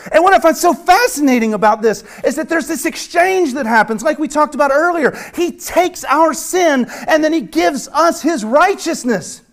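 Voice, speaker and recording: A man from the American South preaching a sermon with a Southern accent, earnest and emphatic, repeating phrases and dropping at times to a hush. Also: very high-pitched, expressive, moderate pace, few pauses. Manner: swings from hushed near-whisper to loud emphatic preaching. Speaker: pastor.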